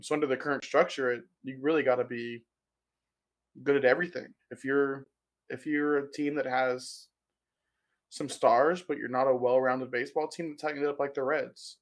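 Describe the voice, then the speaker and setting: A man with a hoarse, gravelly voice from a sore throat, talking through baseball analysis as a podcast guest with dry humor. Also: mid-range voice. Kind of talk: casual podcast chat.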